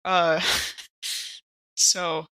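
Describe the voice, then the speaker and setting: A woman chatting casually as a player in a tabletop roleplaying game, with expressive, giggly reactions. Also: fairly low pitch, drawn-out words, smooth.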